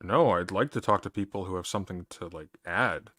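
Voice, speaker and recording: Curt deep-voiced man in his thirties. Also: fairly quick, sharp tone, no echo. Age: 30s. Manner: dry, curt and dismissive, repeats words when interrupted. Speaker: streamer in a debate. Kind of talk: heated online debate.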